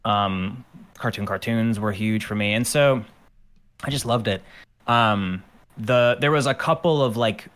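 Smooth low-voiced man, rambling. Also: measured pace, fairly bright tone. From Ukraine. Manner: rambling, with many fillers and restarts. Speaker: guest, animator and musician. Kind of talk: casual interview chat.

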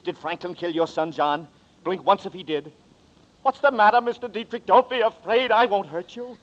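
A man's voice: sits high, normal speed, lightly acted. An actor playing a desperate young man who is accused of murder, speaking in a 1940s radio drama in an urgent, pleading way. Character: desperate hunted young man, Danny Nearing. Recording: dull old radio recording.

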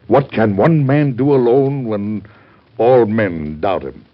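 An actor in an old-time radio drama playing a middle-aged, devout American president with a husky voice and a grave, stately, oratorical manner. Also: male voice, fairly low pitch, slow pace. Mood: anguished, weary. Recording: dull vintage radio drama recording.

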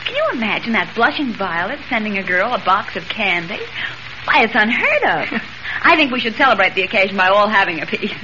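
A woman's voice: mid-range voice, animated, a little fast, close to an everyday voice. An actress playing a teasing, breezy young woman reporter in a theatrically sarcastic 1940s radio style. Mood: breezy, amused. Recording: noisy, muffled vintage radio recording.

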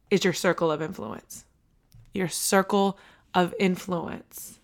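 A woman hosts a motivational podcast, hoarse from losing her voice, energetic and preachy, repeating key lines for emphasis. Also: medium pitch. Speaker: podcast host.

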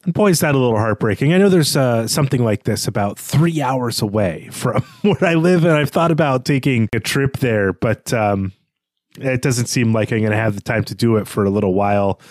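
A man co-hosting a podcast, discussing literature casually in a tentative, halting, self-effacing way. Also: medium pitch, animated, darkish tone, no room sound, studio quality. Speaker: podcast co-host.

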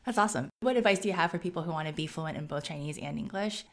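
A woman's voice: low voice, racing. A woman interviewing a guest and asking direct questions.

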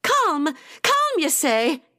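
A woman's voice: sits high, very animated, a little slow, voice pushed up. A woman voicing a grieving, fiery young-spirited pirate-town woman in an audiobook, with coarse, indignant dialect speech. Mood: furious, grieving.

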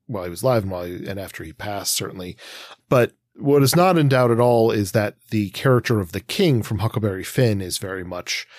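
Low-voiced man, dry close podcast sound. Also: no room sound. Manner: wordy, hesitant, thinks aloud in long winding sentences. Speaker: podcast host. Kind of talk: casual analytical discussion of comics.

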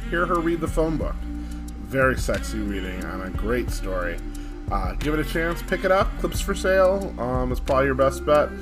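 A man hosting a podcast, talking casually to listeners in a halting way with frequent ums. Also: mid-range voice. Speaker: podcast host. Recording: music playing behind the voice. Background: guitar music.

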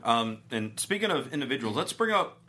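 A male sports host with a lively, bouncing pitch and a bright voice. He chats casually and jokes with his co-hosts, often breaking into laughter. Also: a little fast. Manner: chatty, jokey, laughs often.

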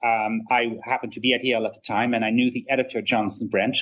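Middle aged prof guy being interviewed, mid pitch, wordy, stops and starts, storytelling kinda style. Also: little pitch movement, quick pace, muffled recording. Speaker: history professor and author, interview guest.

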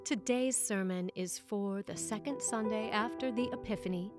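A woman priest reading a scripted sermon aloud for a podcast in a measured, expressive, clearly phrased way. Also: medium pitch, music in the background.

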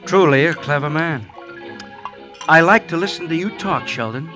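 An actor playing a fervent, embittered devotee of a lost cause, intense and formal in a declamatory 1950s radio style. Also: male voice, a little high, expressive, moderate pace, close to an everyday voice. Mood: admiring, eager. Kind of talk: 1950s radio drama acting. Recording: old radio recording with music.

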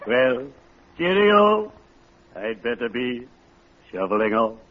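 Slow, courtly, morbid undertaker. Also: male voice, mid-range voice, sing-song. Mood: solemn, courtly. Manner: slow, formal, florid, unctuous delivery. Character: cheerfully morbid, genteel male undertaker, courtly and punning. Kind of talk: 1940s American radio sitcom acting. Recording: muffled, noisy old radio recording.

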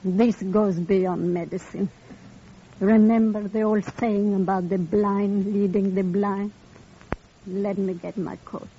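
An actress playing a calm, wise blind woman in an old radio drama, speaking in a measured, gentle way. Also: mid-range voice. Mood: steady, reassuring. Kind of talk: 1940s-50s radio drama acting. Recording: dull, noisy old radio recording.

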